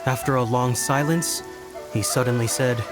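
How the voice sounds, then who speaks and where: A solemn samurai, acted by a man, recounts a dark secret in a mid-pitched voice. His delivery is deliberate, formal and dramatic, with game music clearly behind him. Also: fairly even intonation, natural voice. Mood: solemn, haunted. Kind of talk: dramatic narrated letter, video game.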